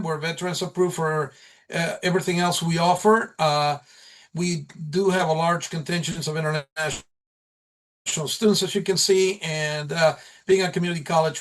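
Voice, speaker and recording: A man giving an informal slide presentation as a college program instructor at a meeting, speaking haltingly with repeated and restarted phrases. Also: fairly high pitch.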